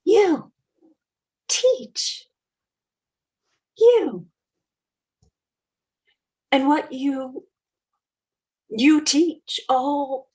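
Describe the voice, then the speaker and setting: An actress playing an emotional, idealistic woman in a 1930s radio play, halting and tremulous. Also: sits high, exaggerated voice, rough recording. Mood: incredulous. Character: emotional, idealistic American woman addressing refugee children.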